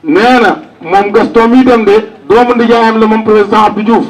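A man's voice: sits high, fairly even intonation, moderate pace, distant mic, poor audio. A Senegalese man announcing condolences to mourners, relaying a message at an outdoor gathering.

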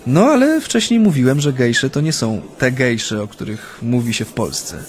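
An actor in a radio play playing a former union activist who recounts his memories in a hesitant, halting way. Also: mid-range voice, very animated, lightly acted. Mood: amused recollection. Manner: hesitant, halting, frequent drawn-out hums. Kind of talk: radio play acting, interview-like recollection.

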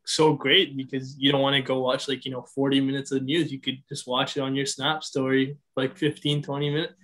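Young man speaking flat and steady. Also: medium pitch, a little fast. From Sri Lanka. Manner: chatty, many likes and rights. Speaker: panelist. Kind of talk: casual panel discussion.

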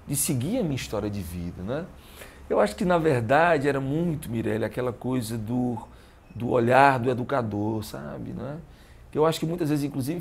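A man's voice: on the low side, a little mellow. A man in his early fifties with a northeastern Brazilian accent, talking reflectively as an interview guest and often checking for agreement. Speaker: interview guest, musician and teacher. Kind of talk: casual interview talk. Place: living room at home. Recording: clear background room noise.

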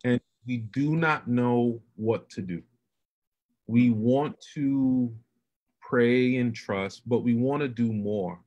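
A man's voice: medium pitch, slow pace, lo-fi sound. A man hosting an interview over a video call, earnest and affirming as he asks open questions. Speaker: host. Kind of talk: conversational interview.

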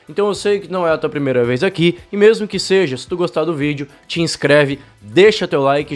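A young man explains things fast and chattily, and his cold shows in his voice. His voice sits a little high. He speaks close to a desk mic in a small home studio, with soft music playing underneath. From Brazil. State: has a bad cold. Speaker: cycling channel host. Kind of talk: explainer video to camera. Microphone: fluffy-windscreen desk mic, close.

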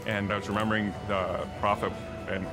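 A man's voice: on the low side, monotone, fairly quick. A young man, a medical student, reflecting earnestly in conversation outdoors. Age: young adult. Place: outdoor park picnic. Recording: music behind.

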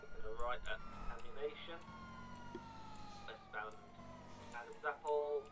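A man's voice: mid-range voice, a little slow. A man giving clipped, terse pursuit callouts as a police officer in an online roleplay game. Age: adult. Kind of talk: live radio-style pursuit callouts. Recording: very noisy, sirens and engines, distant mic. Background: police sirens and vehicle noise from the game.

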